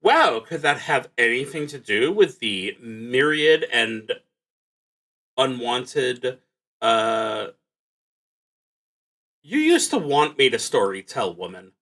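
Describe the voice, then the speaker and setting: A man with an unhurried delivery and a mid-range voice streams a solo tabletop game. He thinks out loud between reading out prompts. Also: medium pitch, sing-song.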